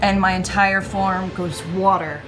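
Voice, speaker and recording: A woman's voice: mid-range voice, fairly even intonation, measured pace, over music. A woman talking casually as a player at a tabletop game, describing her moves.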